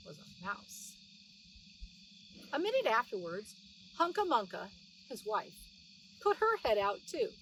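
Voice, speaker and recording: A middle-aged woman narrates a children's storybook in a fairly high, highly expressive voice. She speaks simply, as if to young children. The recording sounds slightly distant, with faint noise behind her. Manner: animated, explaining things simply for young children. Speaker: children's read-aloud narrator. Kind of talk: expressive storybook reading with plain asides to explain words.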